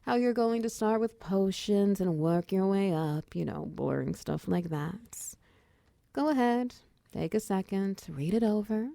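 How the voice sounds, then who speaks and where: A woman voicing a friendly, chatty female minotaur wizard who mentors an apprentice in a roleplay ASMR style, encouraging and gently instructive. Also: medium pitch, animated, measured pace, creaky, no echo. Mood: pleased, businesslike.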